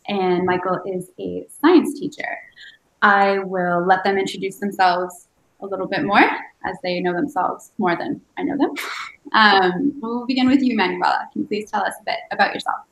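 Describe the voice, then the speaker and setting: A woman hosts an online webinar, welcoming her guests with friendly enthusiasm. Her voice sits at a middle pitch, and the room around her echoes noticeably. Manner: warm, enthusiastic, lightly laughing. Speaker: webinar host. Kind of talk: hosting a webinar.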